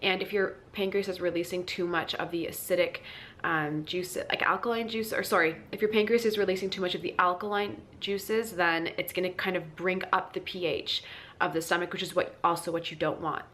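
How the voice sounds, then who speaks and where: A woman's voice: fairly low pitch, fairly even intonation, a little fast, a bit bright, a little hiss or hum. A woman with a stuffy, congested voice from a cold, giving chatty wellness advice to her channel with lots of ums and restarts. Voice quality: nasal, congested. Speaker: wellness vlogger. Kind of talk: casual advice to camera.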